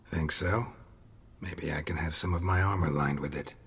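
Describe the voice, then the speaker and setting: A man playing a gruff, laconic monster hunter in a video game, terse and deadpan with dry sarcasm. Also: rumbling low, moderate pace, long reverb tail, heavily acted. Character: gruff, laconic, dryly sardonic male monster-hunting warrior. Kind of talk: understated video game acting.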